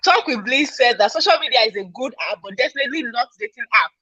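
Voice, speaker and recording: A woman's voice: on the high side, lively intonation, fast, bright, forceful tone, slightly rough, no echo, dull audio. A Nigerian woman hosting a casual live podcast, chatty, reading out viewer comments and exclaiming. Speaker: podcast host.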